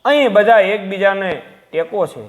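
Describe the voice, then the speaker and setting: A man voicing a serene, welcoming elderly woman in a moral story, with a calm, accepting manner. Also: high-pitched, moderate pace. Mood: calm acceptance.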